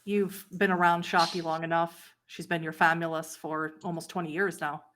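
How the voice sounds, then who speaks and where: Low-voiced woman as game master. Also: little pitch movement, normal speed, fairly bright tone. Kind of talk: narrating a tabletop roleplaying game.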